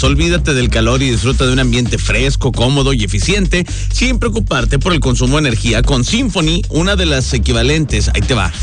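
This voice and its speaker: A man in his thirties with a northern Mexican accent hosting a radio show in slangy, exuberant casual talk, repeating phrases for emphasis. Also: medium pitch. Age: 30s. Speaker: radio host. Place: radio studio. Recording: radio broadcast with music underneath.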